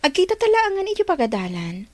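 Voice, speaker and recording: A woman acting a gentle young student and single mother in an Ilocano radio drama, with an Ilocano accent. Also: very high voice, wildly swooping pitch, quick pace, no room sound, heavily acted. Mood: friendly.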